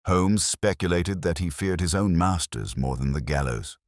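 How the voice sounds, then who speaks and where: A man narrating a scripted first-person mystery story in a measured, formal, literary manner. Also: deep voice, expressive, no room sound, clean studio sound. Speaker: audiobook narrator.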